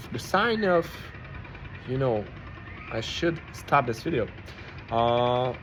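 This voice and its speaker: A man with a Hungarian accent, a non-native English speaker, thinking aloud in a rambling, reflective vlog, often stopping and restarting as he searches for words. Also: medium pitch. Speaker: vlogger. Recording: noisy background with passing vehicles.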